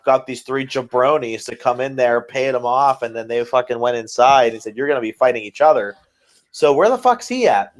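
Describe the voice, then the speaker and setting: A man co-hosting a podcast, chatting casually as an enthusiastic fan and swearing freely. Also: mid-range voice. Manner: enthusiastic, profane. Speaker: podcast co-host. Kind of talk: casual wrestling fan chat.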